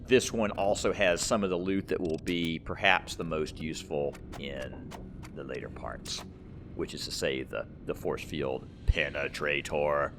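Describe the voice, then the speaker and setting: A man's voice: very deep voice, steady tone, a little slow, background noise. A man giving casual, explanatory commentary as he plays a game, rambling a little as he thinks aloud. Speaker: gamer, let's play host.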